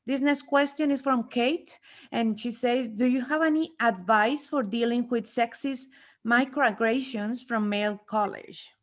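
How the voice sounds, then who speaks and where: A woman moderating a round table, speaking spontaneously and haltingly with repeated words. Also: a little high. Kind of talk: moderating a panel, spontaneous speech.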